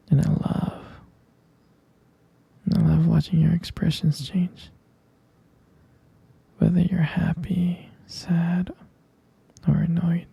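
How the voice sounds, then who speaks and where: A man playing an adoring, tender vampire lover in an intimate ASMR roleplay, whispering breathily and haltingly with gravelly fry. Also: a little high, dry recording, exaggerated voice. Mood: adoring, soothing. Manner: slow, halting, softly repeating himself. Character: adoring, tender male vampire lover soothing his sweetheart.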